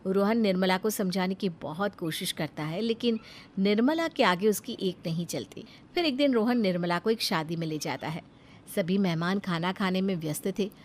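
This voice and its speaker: A woman's voice: medium pitch, moderate pace, slight background noise. Woman narrating a children's story.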